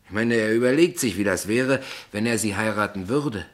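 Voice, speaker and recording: An actor in a radio play playing a naive, proud small-town poet, earnest and hesitant, stubborn when challenged. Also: male voice, lowish voice, moderate pace, close to an everyday voice. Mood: awkward, hesitant.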